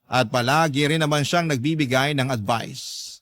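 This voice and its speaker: A man playing a long-suffering young man who narrates his own story in a measured, confiding way for a radio drama. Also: mid-range voice, a bit bright, natural voice. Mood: earnest, wistful. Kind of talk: scripted first-person narration for radio drama.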